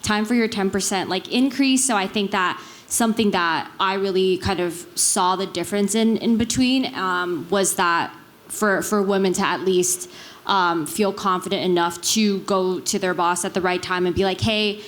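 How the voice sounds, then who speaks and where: A woman's voice: medium pitch, moderate pace, a bit bright. A woman from Taiwan speaking casually and conversationally as a panelist, often checking in with the listener. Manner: conversational, frequent check-in tags like "right". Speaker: panelist, business executive.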